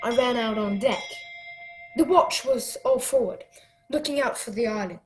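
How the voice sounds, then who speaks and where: A woman's voice: on the high side, moderate pace, faint music behind, natural voice. A woman playing an eager boy sailor who earnestly narrates a sea adventure in a radio play. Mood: suspenseful.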